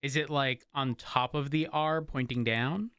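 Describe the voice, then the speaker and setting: A man chats casually as a player in online roleplay, needling and questioning in a teasing way. His voice has an ordinary middle pitch, recorded very dry. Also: fairly even intonation, a little slow, a bit bright, clear, resonant tone, dry recording. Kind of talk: casual banter in game roleplay.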